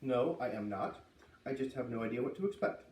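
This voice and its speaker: A man's voice: mid-range voice, animated, fairly quick, darkish tone, echoey room, far from the mic, lightly acted. A man voicing an eager, anxious and stubborn child learning farm work in a storybook read-aloud. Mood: defiant.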